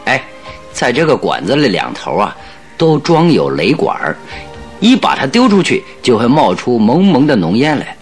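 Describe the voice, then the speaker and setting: A man's voice: medium pitch, wildly swooping pitch, normal speed, faint music behind. An actor playing a clever, confident detective in a radio drama, explaining his schemes in a persuasive, eager way. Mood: confident scheming. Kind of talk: Chinese radio drama acting.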